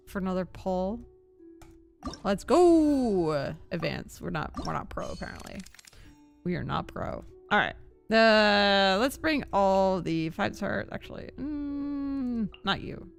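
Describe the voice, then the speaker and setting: A woman's voice: medium pitch, vocal fry. A woman chatting casually on a gaming livestream, self-deprecating and girlishly enthusiastic, with game music behind her. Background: video game music.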